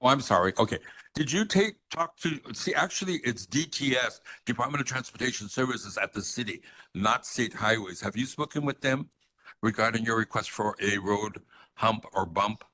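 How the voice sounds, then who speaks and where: A man's voice: mid-range voice, moderate pace, sharp tone, slight background noise, low-quality recording. A man from Hawaii speaking as a neighborhood board member at a public meeting, courteous and explanatory, offering help. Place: community meeting room.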